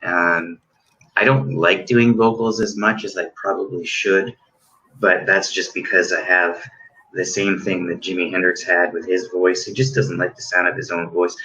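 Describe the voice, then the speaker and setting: Deep-voiced man chatting loosely. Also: little pitch movement, normal speed, pushed and bright, rough recording. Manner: rambling, many likes and restarts. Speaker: guest, musician. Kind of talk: casual chat.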